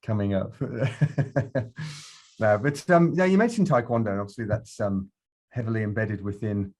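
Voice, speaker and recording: A man's voice: on the low side, normal speed, a little mellow. A man hosting a podcast interview, upbeat and welcoming as he asks questions. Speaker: podcast host.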